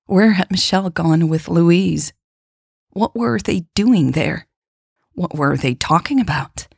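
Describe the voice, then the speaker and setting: A woman's voice: lowish voice, takes it slowly, vocal fry, a little mellow, slightly rough, no echo, studio quality. A woman hosting a podcast and reading scripted fiction as its narrator. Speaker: podcast host and author narrating her story.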